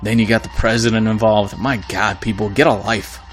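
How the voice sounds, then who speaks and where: A man's voice: fairly low pitch, little pitch movement, a little fast, soft background music. A man from Florida hosting his own podcast, ranting bluntly and with exasperation while he is losing his voice. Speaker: podcast host. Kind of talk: solo podcast rant.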